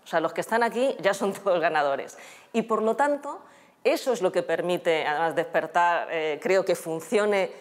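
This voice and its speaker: A woman's voice: on the low side, moderate pace. Reflective woman, long reverb. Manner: reflective, gesturing. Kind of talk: interview answer. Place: bright room with windows.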